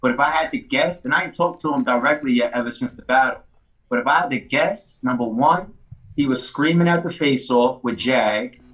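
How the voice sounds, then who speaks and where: A man's voice: mid-range voice, brisk, fairly bright tone. Laid-back guy talking easy on a podcast, keeps saying you know what im saying, kinda muffled sound. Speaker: battle league owner, guest. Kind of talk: casual podcast chat.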